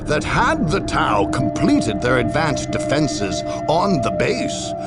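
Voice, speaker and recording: A man giving scripted dramatic narration of a war history in a grave, deliberate, theatrical manner. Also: a little high, huge pitch swings. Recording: music behind the voice. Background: game music.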